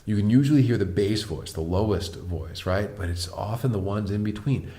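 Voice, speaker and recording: A man with a deep voice teaching a piano lesson, patiently explaining step by step and asking the viewer questions. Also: normal speed, warm tone. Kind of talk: online music lesson.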